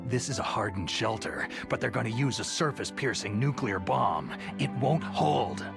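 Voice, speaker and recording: An actor playing a gruff, battle-weary American soldier hero in a video game, gravelly, terse and protective. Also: male voice, medium pitch, expressive, moderate pace. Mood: grave urgency. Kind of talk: dramatic video game cutscene acting. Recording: long reverb, loud music behind.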